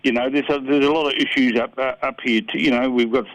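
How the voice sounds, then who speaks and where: A man's voice: mid-range voice, steady tone, fast, fairly bright tone, no echo. An older man with a New Zealand accent, speaking earnestly and plainly as a council candidate in a phone interview. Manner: earnest, plain-spoken, searching for words. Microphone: telephone. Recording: muffled phone line.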